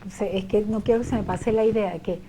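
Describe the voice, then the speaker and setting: A Venezuelan woman hosting a chatty interview, effusive and quick to interject. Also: mid-range voice, fairly even intonation, warm tone, a little hiss or hum, poor audio. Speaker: radio or online interview show host. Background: music playing in the background.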